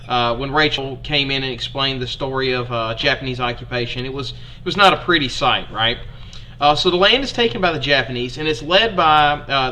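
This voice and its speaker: A man's voice: mid-range voice, bright, forceful tone, a little hiss or hum, rough recording. A young man with an Alabama accent teaching a history lesson in a chatty, folksy way to his students.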